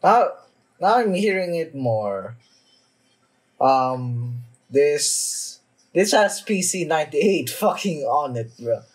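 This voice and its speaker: A young man giving a casual, sweary reaction in a halting, informal way, sitting in a room at home. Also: on the high side, sing-song, husky, rough recording. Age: young adult. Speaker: reaction video host. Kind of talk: casual anime reaction chat. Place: small indoor room at home.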